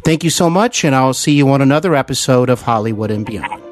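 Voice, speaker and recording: A male podcast host delivers his lines earnestly and deliberately. His voice sits at a medium pitch, with light music in the recording. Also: animated. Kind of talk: podcast interview hosting and sign-off.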